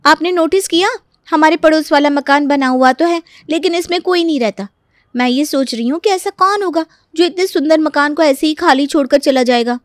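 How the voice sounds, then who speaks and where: A woman playing a hopeful, scheming young daughter-in-law in a Hindi animated story, smooth-talking and reassuring. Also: high-pitched, a little fast, no echo, studio quality, close to an everyday voice. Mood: curious. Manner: coaxing, reassuring.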